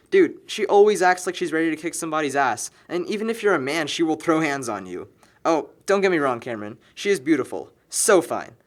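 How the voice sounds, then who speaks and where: An actor playing a wry young man in a film, rambling to a friend in slangy, emphatic buddy talk. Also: fairly high pitch. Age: young adult. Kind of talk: naturalistic buddy dialogue.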